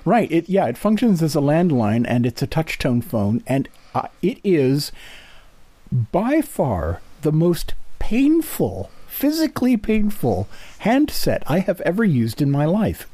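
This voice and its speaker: A middle-aged or older man chats as an interview host in a mellow, slightly husky voice. His pitch moves around a lot as he talks haltingly, doubling back on words, in a dry close recording. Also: wildly swooping pitch, no room sound. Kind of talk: casual chat.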